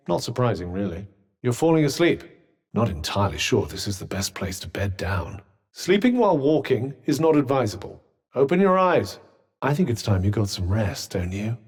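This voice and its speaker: A man's voice: mid-range voice, darkish tone, slightly rough. A male actor voicing a haughty, erudite magical cuff in a video game, with a British accent and a dry, sarcastic, pompous manner. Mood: wry, nagging. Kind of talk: video game companion dialogue.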